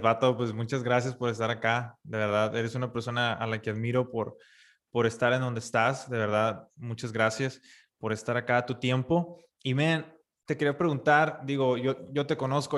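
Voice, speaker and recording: A man hosting a podcast, friendly and quick to laugh in casual chat. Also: mid-range voice, steady tone, a bit bright. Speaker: podcast host.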